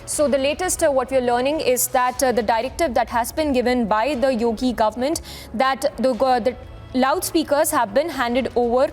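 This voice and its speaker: High-pitched Indian woman anchoring breaking news. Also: a little mellow, faint music behind. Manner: halting, repetitive ad-libbed delivery. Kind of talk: live breaking news reporting.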